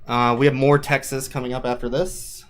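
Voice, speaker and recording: A man's voice: medium pitch, little pitch movement, a little fast, slight background noise. A man giving casual, joking live commentary as he speedruns a video game. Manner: wry, joking. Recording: voice under loud game music. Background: loud game music.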